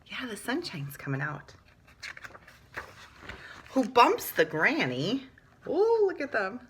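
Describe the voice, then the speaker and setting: A woman teacher reading a picture book aloud to young children, with sing-song, drawn-out phrasing, hushed moments and explanatory asides. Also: a little high, wildly swooping pitch, a little hiss or hum.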